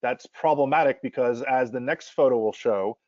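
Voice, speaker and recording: A man's voice: mid-range voice, normal speed, darkish tone, no room sound. Man hosting over a dry, dull call. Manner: loose, friendly questioning with restarts. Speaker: host. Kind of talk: casual interview. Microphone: video call.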